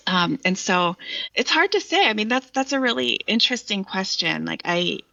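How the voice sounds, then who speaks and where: A woman's voice: medium pitch, very animated, normal speed, vocal fry, pushed and bright, no room sound, muffled recording. A Vietnamese American woman poet speaking thoughtfully and reflectively as a guest. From Vietnamese American, from the United States. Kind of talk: reflective conversation and poetry reading.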